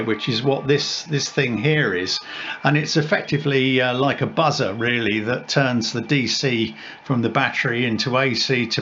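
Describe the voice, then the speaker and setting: A man giving an informal, unscripted equipment demonstration as a radio hobbyist, explaining haltingly and correcting himself as he goes. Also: mid-range voice. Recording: dull sound with loud background noise.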